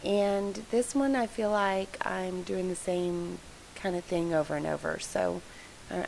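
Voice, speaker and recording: Hoarse, gravelly-voiced woman chatting casually. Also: fairly low pitch, a little mellow, a little hiss or hum, poor audio. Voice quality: froggy, gravelly voice. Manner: chatty, rambling, apologetic. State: hoarse, congested from allergies. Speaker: scrapbooking video host. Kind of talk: casual voice-over narration of a craft process. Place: home scrapbook room.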